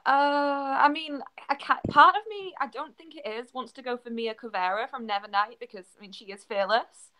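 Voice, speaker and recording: A woman's voice: high voice, normal speed, few pauses, a little hiss or hum, poor audio. A woman playing as a quiz contestant, thinking aloud in casual, exclamatory chat. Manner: expressive, exclamatory.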